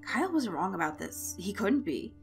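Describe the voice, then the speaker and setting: A woman's voice: mid-range voice, big pitch swings, normal speed, creaky. Woman narrating a story over music. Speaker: fan fiction narrator. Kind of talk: expressive scripted story narration. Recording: music behind the voice. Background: instrumental music.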